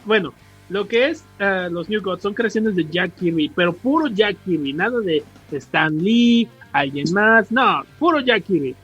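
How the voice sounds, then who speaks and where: A man's voice: high-pitched, lively intonation, unhurried, a bit bright, husky. A Mexican man co-hosting a podcast, explaining things casually in slangy, rambling talk. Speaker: podcast co-host. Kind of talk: casual explanatory chat about comics. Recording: dull podcast audio with music.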